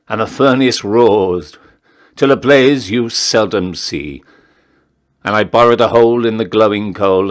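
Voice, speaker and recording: A man recites a narrative poem with a theatrical storyteller's delivery. His voice sits fairly low. He moves very slowly, measuring each phrase and leaving deliberate pauses. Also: a bit bright. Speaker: poetry reader, town crier. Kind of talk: dramatic recitation of a narrative ballad.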